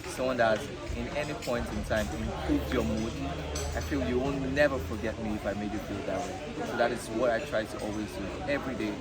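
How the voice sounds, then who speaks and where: A young Nigerian man with a Nigerian accent, a native Yoruba speaker, answering interview questions in an earnest, measured way. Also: medium pitch, steady tone, over loud music. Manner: earnest, measured, speaks of himself in third person at times. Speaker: pageant contestant. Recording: loud crowd noise behind. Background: crowd chatter.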